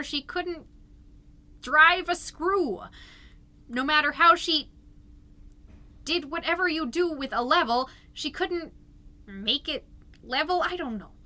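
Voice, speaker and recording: Chatty petty small town mom, acted by a woman, high bright voice, perky and rambly, comedy podcast. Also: exaggerated voice. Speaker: fictional podcast host and narrator. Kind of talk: scripted comedic monologue podcast.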